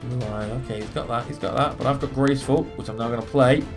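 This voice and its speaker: Guy doing a casual lets play, swears a lot, thinks out loud, game music in the back. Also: mid-range voice, steady tone, fast talker. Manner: sweary, talks through his moves aloud. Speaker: gaming video host. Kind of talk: casual let's play commentary. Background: video game music.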